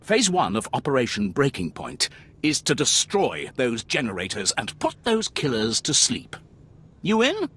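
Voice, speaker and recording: An actor playing a brash, gung-ho Imperial lieutenant in a video game, raspy and cocky with theatrical emphasis. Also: male voice, mid-range voice, wildly swooping pitch, measured pace, a bit bright, heavily acted. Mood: gung-ho, bloodthirsty. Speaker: military officer character.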